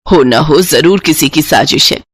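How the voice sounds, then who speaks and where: A woman voicing a caring, practical poor village mother in an animated moral story, with a concerned, motherly manner. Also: sits low, normal speed, dry recording. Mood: suspicious.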